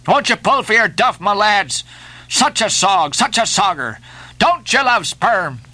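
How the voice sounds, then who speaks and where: Excitable whaling mate, played by a male narrator: high, wildly swooping, greedy, pleading and repetitive, storybook style. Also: unhurried, no room sound, heavily acted. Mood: frantic pleading. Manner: breathlessly begging, exclamatory, repetitive. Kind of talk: storybook character voice in a novel reading.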